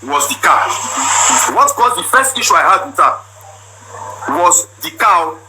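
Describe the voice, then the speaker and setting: A loud young man with a Nigerian accent tells a comic story, pressing each point and saying it twice. His voice sits high for a man. A steady hiss of noise runs under the recording. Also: a little slow. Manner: loud and emphatic, repeats his lines. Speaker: comedian. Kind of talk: comedic storytelling monologue.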